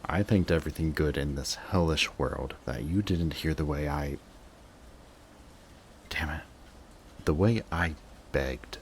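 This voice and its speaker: Very deep, slow-talking bitter villain played by a man. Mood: anguished, confessing. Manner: intense, sardonic, dramatic pauses, swearing. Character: bitter adult male villain, guarded, secretly devoted to rival. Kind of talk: emotional audio roleplay monologue to the listener. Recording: clearly audible background noise.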